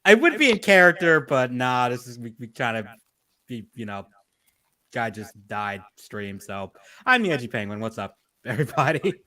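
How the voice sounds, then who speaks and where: Male co-host, animated swinging pitch, joking, mild swearing, casual banter. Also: moderate pace. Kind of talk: casual chat.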